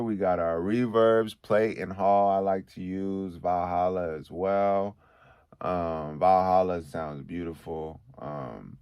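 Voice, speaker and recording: Deep-voiced man explaining studio recording. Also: clean tone, dry recording. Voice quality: deep, bassy voice. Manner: relaxed, conversational, frequent "okay?" check-ins. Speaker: recording engineer teaching. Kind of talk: casual tutorial.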